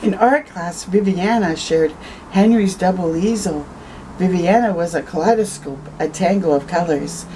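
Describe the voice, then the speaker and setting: A woman reading a picture book aloud to children as a storytime host, expressive, with conversational asides. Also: fairly low pitch, normal speed, slight background noise, rough recording. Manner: conversational asides, tags questions with "eh".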